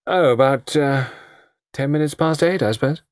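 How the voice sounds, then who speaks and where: A man's voice: medium pitch, normal speed, dry recording, clean studio sound, voice pushed up, natural voice. A man voicing a cool, haughty young woman who answers curtly. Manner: cool, curt, clipped replies. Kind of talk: audiobook character voice.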